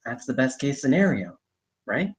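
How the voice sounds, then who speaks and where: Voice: medium pitch, big pitch swings, normal speed, natural voice. A performer reading the part of a determined, stubborn young orphaned drone racer at a screenplay table read, speaking insistently. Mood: determined, defensive.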